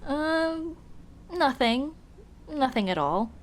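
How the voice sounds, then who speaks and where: A woman's voice: high-pitched, expressive, takes it slowly. A woman playing a young tomboy childhood friend, casual, teasing and caring, in a scripted ASMR roleplay. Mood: hesitant, candid. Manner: casual, teasing, addresses listener as dude. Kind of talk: ASMR roleplay, scripted one-sided conversation.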